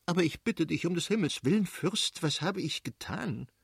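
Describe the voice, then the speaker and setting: A man's voice: a little high, very animated, normal speed, no echo, studio quality, pitched above the performer's own voice. A man voicing an aggrieved aristocratic wife and mother in an audiobook, plaintive and defensive in manner. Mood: near tears. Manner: plaintive, protesting. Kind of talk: dramatised audiobook reading.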